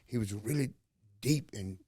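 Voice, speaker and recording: A man's voice: on the low side, measured pace, warm tone, husky, no room sound, clean studio sound. A man from Alabama with a Southern accent, hosting a podcast in a folksy, preachy way, full of slang and rhetorical questions. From Alabama, USA. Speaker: podcast host. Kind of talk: motivational podcast talk.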